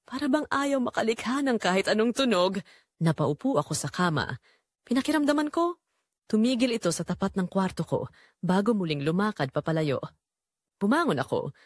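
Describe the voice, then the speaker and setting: Dramatic Filipino woman storyteller, lively pitch. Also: no echo, studio quality. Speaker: audio drama narrator. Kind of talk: dramatic first-person scripted narration.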